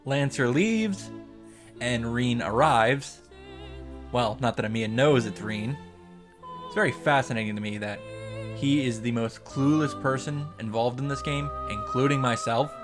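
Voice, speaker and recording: A man's voice: mid-range voice, a little slow, music in the background. A man giving a sarcastic, profane recap and commentary on a visual novel, as the host of a video. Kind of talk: game recap with commentary, reading lines aloud.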